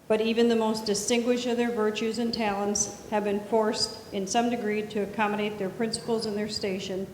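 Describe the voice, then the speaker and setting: Woman, halting reader of formal history text, mid-pitched, in a reverberant hall with some noise. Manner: halting, stumbles over words and corrects herself. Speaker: class participant reading aloud. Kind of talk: reading a history book aloud.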